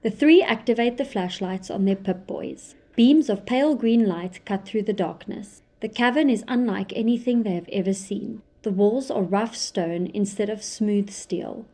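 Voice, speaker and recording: A woman narrating an audio drama in a measured, descriptive way. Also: medium pitch, mellow.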